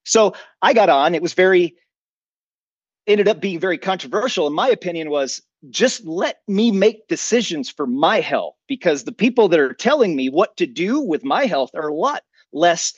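A man with a fairly high voice talks as a podcast guest on emergency preparedness. He is earnest and opinionated, explaining at length in a conversational way. The sound is dry and somewhat muffled. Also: animated, moderate pace, husky, dry recording. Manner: earnest, opinionated, rhetorical questions to himself. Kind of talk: conversational interview, long personal explanations.

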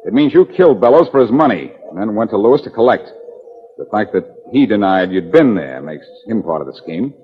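An actor playing a wry, shrewd, self-assured amateur detective in a 1940s radio drama, probing with deceptively casual questions. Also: male voice, fairly low pitch, very animated, fairly quick. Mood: accusing. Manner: probing, wry, hesitating before pointed questions. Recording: dull, noisy 1940s radio recording.

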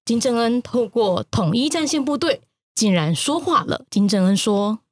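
A woman with a Taiwanese accent telling news stories in an expressive, teasing podcast style. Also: a little high, moderate pace. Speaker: news podcaster, guest storyteller. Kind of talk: playful news storytelling.

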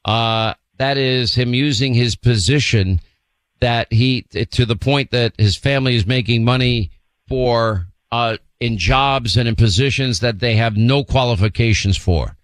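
A male talk radio host at a mid-pitched studio mic, with a close, dry sound and no room around him. He presses his points hard, slowing his words right down when something matters. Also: no echo. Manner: emphatic, slows down to stress points. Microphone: studio microphone.

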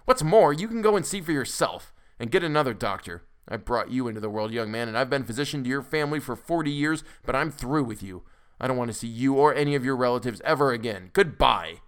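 A man's voice: mid-range voice, quick pace, clear, resonant tone, lightly acted. An irritable old family doctor, acted out by a male storybook narrator. He is indignant and cutting, and bites off his words in outrage. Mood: cutting anger.

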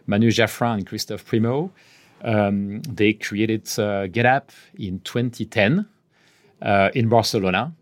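Low-voiced French-accented man, measured. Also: fairly even intonation. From France. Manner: measured, often pausing mid-phrase, voice trailing off softly. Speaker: guest executive. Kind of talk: podcast interview answers.

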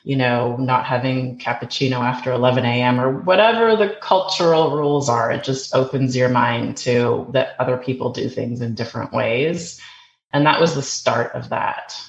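A woman's voice: rumbling low, moderate pace, creaky. A Canadian woman, a podcast guest, telling stories about her childhood in a chatty way and restarting sentences as she goes. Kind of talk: conversational interview. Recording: roomy, dull remote recording with faint noise.

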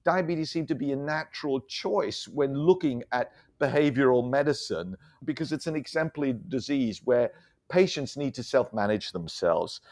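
A man's voice: mid-range voice, moderate pace, darkish tone. A middle-aged man from Germany, a physician, talking reflectively and conversationally as an interview guest, explaining things carefully and often repeating words. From German, raised abroad. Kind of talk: conversational life-story interview.

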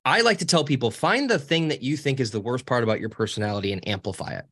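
Man, high voice, cheeky, lively pitch, casual podcast guest. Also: fast talker, slightly rough. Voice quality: high voice with a cackle. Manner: animated, cheeky, rambling with restarts. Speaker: podcast guest, social media co-founder. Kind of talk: casual interview talk.

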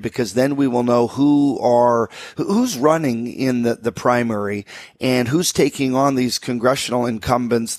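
A man's voice: medium pitch, no echo, clean studio sound. A man hosting a political talk radio show, conversational and opinionated, who asks long, winding questions. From Alaska.

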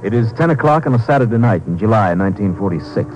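A man giving measured, matter-of-fact scripted narration for an old radio drama. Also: fairly low pitch, animated. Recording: muffled old radio recording with loud music.

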